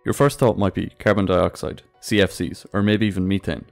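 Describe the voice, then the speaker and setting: A male narrator delivers a scripted educational explainer in a low voice with noticeable vocal fry. He moves briskly through the material in an explanatory manner. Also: steady tone. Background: background music.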